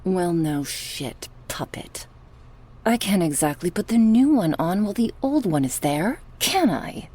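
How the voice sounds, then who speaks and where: A woman's voice: on the low side, very animated, dark tone, husky, heavily acted. A woman playing a cruel, sadistic goddess who taunts sarcastically in a horror ASMR roleplay. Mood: taunting. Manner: taunting, sarcastic, slow and drawn out with sighs. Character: sadistic goddess, mocking, cruel, playfully menacing captor.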